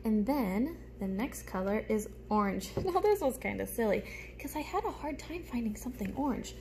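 A woman's voice: a little high, sing-song, moderate pace, darkish tone, a little hiss or hum. A young woman teacher giving a show-and-tell lesson to small children, explaining things simply and expressively. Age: young adult. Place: small room at home, indoors. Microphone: phone held close in front.

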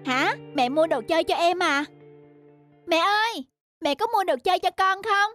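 A woman's voice: extremely high pitch, moderate pace, fairly bright tone. A woman voicing a jealous, sulky little boy kitten with a whiny manner in a children's cartoon. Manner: whiny, petulant. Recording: studio voice over background music.

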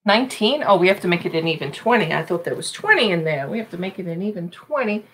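A woman's voice: on the low side, expressive, fast. Casual New York woman hosting a live record auction from home, rambling and friendly in tone. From Long Island, New York. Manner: chatty, thinking aloud while reading album titles. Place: small home room full of shelves.

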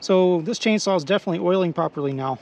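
A middle-aged man hosting a how-to repair video in his workshop garage, talking in a relaxed, explanatory way with lots of "uh" and "um," on a lapel mic. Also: high voice, fast talker, vocal fry. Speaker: channel host.

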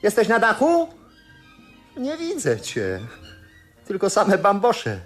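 A man acting in a radio play as a wry young bohemian painter, anxious and plaintive, with colloquial, unhurried speech. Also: sits high, huge pitch swings, fairly bright tone, faint music behind, exaggerated voice.

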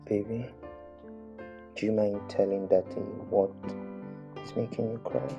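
A man playing a patient father who softly comforts his crying daughter. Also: on the low side, fairly even intonation, unhurried, muffled recording, faint music behind. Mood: soothing. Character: gentle, patient father soothing his little girl.

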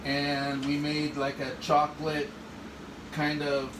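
A man's voice: on the high side, monotone, slow pace. A middle-aged man walking through a recipe in his home kitchen, rambling a little as he explains each step. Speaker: home cook. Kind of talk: casual cooking demo. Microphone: phone camera across the room. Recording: echoey kitchen, distant phone mic, hum.

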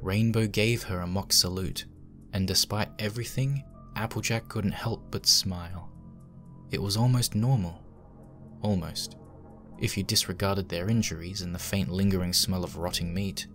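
A man's voice: deep voice, fairly bright tone. A man narrating a horror fan fiction as a dramatic scripted reading.

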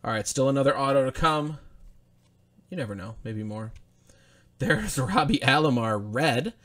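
A man's voice: medium pitch, expressive, normal speed, a bit bright. Young guy hosting a card break, chatty, names flying off as he rips packs at a desk. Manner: chatty, rattles off player names while opening packs. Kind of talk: live card-opening commentary. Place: indoor studio with branded backdrop.

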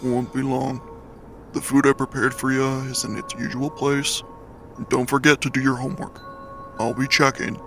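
A man voicing a laid-back, protective eighteen-year-old skeleton big brother in a comic dub, with a gravelly voice and casual drawl. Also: mid-range voice, flat delivery, normal speed, a bit bright, faint music behind, natural voice. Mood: laid-back, teasing. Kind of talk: dramatic comic dub acting.

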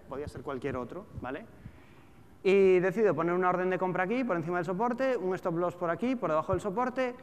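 A man in his thirties with a European Spanish accent, giving an informal, colloquial talk to a live audience, full of rhetorical questions. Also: sits high. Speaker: speaker, trader and trading educator. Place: large auditorium stage with seated audience. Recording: echoey hall with background noise.

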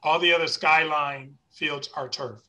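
Bright, forceful man chairing a meeting. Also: a little high, steady tone, unhurried. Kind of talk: formal meeting discussion. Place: video conference meeting. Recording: video call audio.

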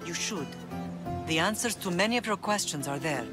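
A woman playing a solemn, measured middle-aged Spartan mother in a video game, warm toward her son. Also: on the low side, lively intonation, natural voice. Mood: tender. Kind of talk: dramatic video game acting. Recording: loud music behind.

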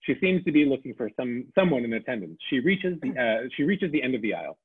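A man reads the stage directions of a table read in a plain, reading-aloud way. His voice is husky and lively in pitch. The sound is thin and phone-like. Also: fast talker.

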